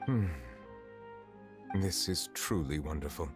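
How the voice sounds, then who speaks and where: Low, stately chief justice. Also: male voice, lively intonation, loud music behind the voice, exaggerated voice. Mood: composed, reflective. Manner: formal, measured, precise wording. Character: stately, reserved male chief justice, formal and composed. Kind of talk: English video game voice acting.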